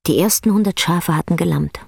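A woman performing as the grave, intense narrator of a bleak literary radio drama, telling it in a measured way. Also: fairly low pitch, vocal fry, a little mellow, slightly rough, no echo, clean studio sound. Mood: grave, tense. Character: female storyteller of a bleak rural tale, grave and intense. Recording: music under the voice.

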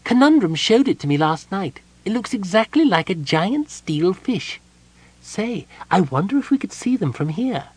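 A man putting on a high bubbly little kender. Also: sing-song, normal speed, a little mellow, husky, no room sound, exaggerated voice. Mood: excited. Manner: bubbly, chattering, stumbles over words. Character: small excitable male kender, childlike and curious.

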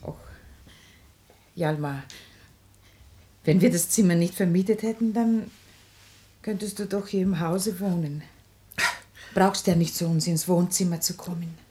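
A woman's voice: fairly low pitch, measured pace, pauses often, dark tone, natural voice. Caring, worried mother and wife , actress, solicitous tone, echoing room, slight hiss, vintage German radio drama. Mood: pleading, solicitous. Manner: solicitous, pleading. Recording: roomy, hissy 1950s radio recording.

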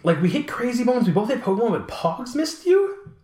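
Man, late twenties, rapid-fire casual talker, animated storyteller, echoey room sound. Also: extremely high pitch. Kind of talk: casual chat about collectibles. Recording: roomy, echoing room sound.

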